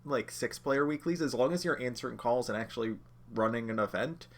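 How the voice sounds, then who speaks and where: A man with a slightly nasal voice from being under the weather, teaching a livestreamed lesson in a relaxed, chatty way, talking straight to his viewers. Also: medium pitch, a little fast. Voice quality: a bit nasal. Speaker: judge training instructor.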